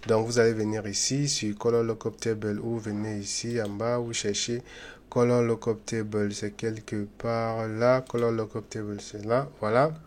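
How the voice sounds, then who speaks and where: A man hosting a software tutorial, talking loosely and chattily with many fillers and restarts, and speaking straight to his viewers in a friendly way. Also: fairly low pitch, flat delivery, moderate pace, slight background noise. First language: not English. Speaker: YouTube tutorial host. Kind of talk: unscripted screen-recorded software tutorial.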